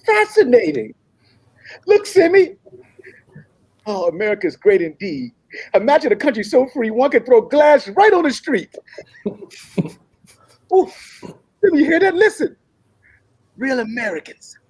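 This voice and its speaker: A man's voice: very high voice, sing-song, a little slow, pauses often, darkish tone, breathy edge, dry recording, exaggerated voice. A man playing an earnest, idealistic young African prince in a comedy table read. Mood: wonderstruck.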